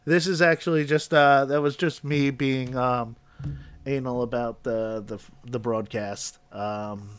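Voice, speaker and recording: A man's voice: mid-range voice, steady tone, a little slow, clean tone, no room sound. A man hosting a casual chat show, rambling with lots of fillers and restarts and laughing often. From New Jersey, USA. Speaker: podcast host.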